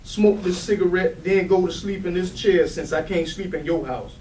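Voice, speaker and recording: A man's voice: high-pitched, moderate pace, a little mellow, lightly acted. A man playing a hurt, defiant grown son who pleads and confronts in a staged play reading. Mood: bitter, defiant. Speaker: stage actor in a readers theatre. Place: live readers theatre room. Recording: roomy, distant mic with light noise.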